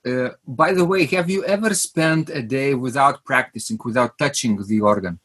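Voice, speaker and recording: Husky-voiced hesitant podcast host man. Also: mid-range voice, normal speed, fairly bright tone. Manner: hesitant, many uh fillers, asks leading questions. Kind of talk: podcast interview.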